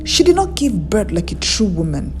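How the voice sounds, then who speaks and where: A woman's voice: medium pitch, sing-song, normal speed, music in the background, lightly acted. A woman storyteller voicing scornful, judgmental village women in a folktale, with a stern, disapproving manner. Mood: sneering.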